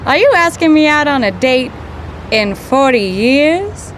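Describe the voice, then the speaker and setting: An actress playing a plucky, wry young grad student with breezy, slangy period talk, in old-time radio drama style. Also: female voice, sits high, big pitch swings, a little slow, slight background noise. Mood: playful, intrigued.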